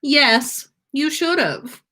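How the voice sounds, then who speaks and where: A woman's voice: sits high, extremely slow, pushed and bright, voice pushed up, exaggerated voice. Woman reading as a prickly, aloof young man. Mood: dry, teasing. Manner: aloof, dry, teasing. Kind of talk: storybook read-aloud of fan fiction.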